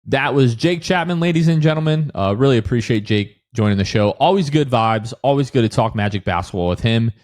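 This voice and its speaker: A man's voice: mid-range voice, expressive, a little fast, hardly any pauses, a little mellow. An American man hosting a sports podcast in a casual, chatty style.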